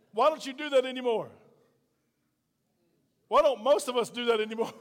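A middle-aged man preaching a sermon as pastor, emphatic and folksy, with repeated rhetorical questions. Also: high voice. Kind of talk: sermon to a congregation. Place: church sanctuary. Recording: reverberant hall sound.

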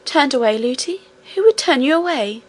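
A woman reader acts out a little storybook princess with a high, childlike voice. She is innocent and affectionate, speaks with precise politeness and can be earnestly stubborn. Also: lively intonation, moderate pace. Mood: earnest, puzzled. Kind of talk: storybook character reading.

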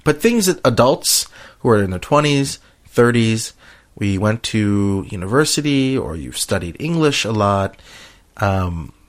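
A middle-aged American man hosting a casual conversational podcast, talking in a hesitant, halting way. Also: on the low side, lively intonation. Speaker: podcast host.

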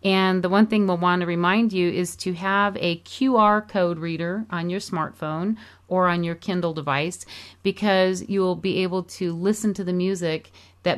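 A middle-aged woman talks about her book on a radio interview, earnest and heartfelt. Her voice sits somewhat low for a woman, and she restarts a phrase now and then. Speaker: author and musician promoting her book. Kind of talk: casual radio interview chat.

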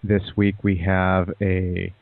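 Podcast host guy, teasing and giggly, lots of ums, lowish voice, sounds like a phone call. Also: monotone, slow pace, creaky, no echo. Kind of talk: casual interview chat. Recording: dry, tinny phone-like line.

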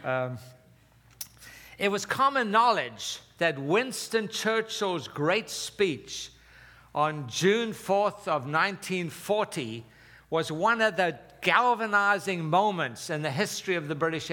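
A man's voice: on the high side, pushed and bright. A man in his seventies, born in South Africa, preaching a conversational sermon with fillers and some slips. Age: 70s. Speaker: pastor.

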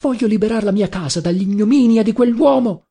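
Man voicing an impassioned, high-pitched young woman. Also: fairly quick, dark tone. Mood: tearful protest. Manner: impassioned, urgent pleading. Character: distressed, indignant, passionate young woman, pleading and proud.

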